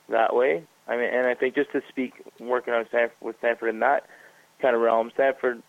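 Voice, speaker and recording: A man's voice: mid-range voice, dry recording. A man hosting a casual radio chat, rambling through long thoughts with many fillers and restarts. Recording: dry, tinny narrow-band sound.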